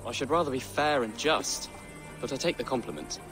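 A young man playing an earnest, gentle young nobleman in a game cutscene. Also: medium pitch, brisk, over music, natural voice. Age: young adult. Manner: earnest, hopeful, softly spoken. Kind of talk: video game cutscene acting.

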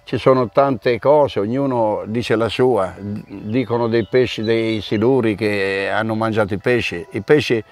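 A man's voice: lowish voice, steady tone, unhurried, few pauses. A middle-aged man, the event organizer, explaining at length in an outdoor interview with frequent restarts. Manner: hesitant, restarts often, storytelling. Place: outdoor park by a car park.

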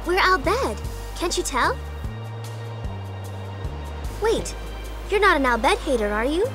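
A perky teenage girl in a video game. Her voice is high and bounces around in pitch, casual and friendly. Music plays behind her. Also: sing-song, slow, full character voice. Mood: curious. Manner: chirpy, casual, reassuring.

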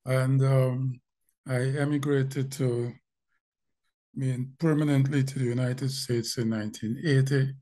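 An older man from Guyana with a Guyanese accent, speaking as a guest in a video call interview, thoughtful and pausing, often repeating words as he searches for them. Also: mid-range voice, flat delivery, dark tone. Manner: slow, thoughtful, with frequent pauses and repeated words. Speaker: linguist guest. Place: home room indoors. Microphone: video call webcam.

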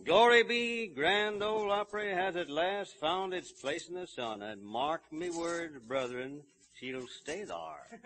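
A man's voice: fairly high pitch, takes it slowly, a bit bright. A man hosting a live 1930s radio show in a folksy, emphatic way, heard on a vintage broadcast recording.

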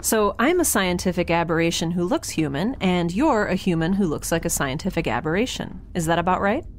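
Candid young sci-fi heroine, played by a woman: lower-toned, informal, inquisitive and wry, for audio drama. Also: animated, fairly quick, slight background noise, close to an everyday voice. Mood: wry, indignant.

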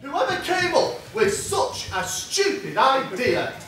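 A man's voice: extremely high pitch, wildly swooping pitch, slow pace, sharp tone, full character voice. A man playing a pompous, indignant boss in an amateur stage pantomime. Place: theatre stage, indoors. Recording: echoey theatre from far off.

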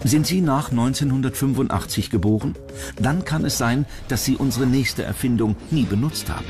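A man's voice: mid-range voice, normal speed, darkish tone. A man reading scripted narration as a documentary narrator. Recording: music bed under the voice. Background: background music.

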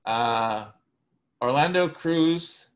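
Relaxed male instructor on a call. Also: medium pitch, very slow. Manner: relaxed, hesitant with fillers. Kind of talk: casual roll call on a video class. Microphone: video call.

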